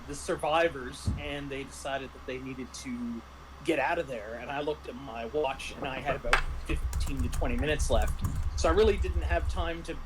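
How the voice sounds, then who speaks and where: A man casually recounting tabletop game sessions as game master, speaking haltingly and often clearing his throat. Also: a little high. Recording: clearly noisy background.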